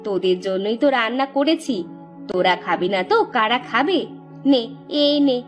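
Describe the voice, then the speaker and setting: A woman voicing an expressive village aunt in a cartoon, with a homely, coaxing and scolding manner. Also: on the high side, normal speed, faint music behind, natural voice. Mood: hospitable. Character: village aunt, hospitable upfront, grumbling privately. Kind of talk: amateur cartoon dubbing.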